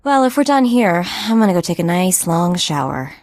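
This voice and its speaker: A young sci-fi game sidekick with a fairly low voice. She is breezy, chatty and upbeat, recorded dry and close. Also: sing-song, normal speed, darkish tone, no room sound. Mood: breezy, amused. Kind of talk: video game character acting.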